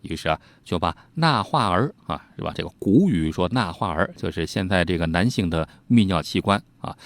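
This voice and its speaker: Male storyteller, colloquial and animated, teasing asides, very dry close sound. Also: fairly low pitch, dry recording. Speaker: storyteller, host. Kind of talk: informal storytelling narration of old ghost tales.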